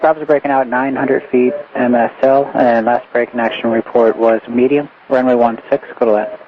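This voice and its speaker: A man's voice: mid-range voice, fairly even intonation, normal speed. A man with an American accent working as a tower air traffic controller, giving clipped procedural calls over radio. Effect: aviation radio transmission. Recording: muffled, faintly noisy aviation radio. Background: faint radio noise.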